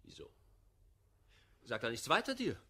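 Jealous, hot-headed lovelorn master for verse radio play: bright, forceful, very rough-edged, declamatory. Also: male voice, medium pitch, wildly swooping pitch, moderate pace, heavily acted. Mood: impatient. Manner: declamatory, volatile, impassioned. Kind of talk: classical verse comedy radio play acting.

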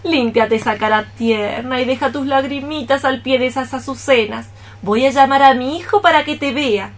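A woman's voice: high voice, close to an everyday voice. An actress playing a scolding, scheming old mother cockroach in a theatrical, exclamatory radio play style. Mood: tender. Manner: exclamatory, theatrical, drawn-out phrases. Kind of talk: radio theatre acting in archaic poetic Spanish.

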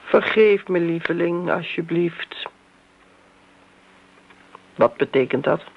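An actress playing an insistent middle-aged woman in a radio drama, probing and pointed, with a husky voice. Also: lowish voice, takes it slowly, full character voice. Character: insistent grown daughter confronting an older family friend. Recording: dull, lo-fi radio drama recording.

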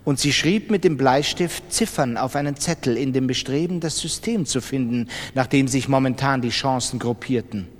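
A man narrating a dramatized literary reading in an expressive, deliberate storytelling manner. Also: medium pitch. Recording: long reverberant hall.

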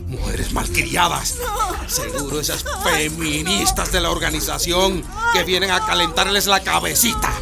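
A man's voice: high-pitched, big pitch swings, moderate pace, creaky, heavily acted. A man acting a violent, domineering husband and father in a radio drama, menacing and sneering. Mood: menacing, contemptuous. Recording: music behind.

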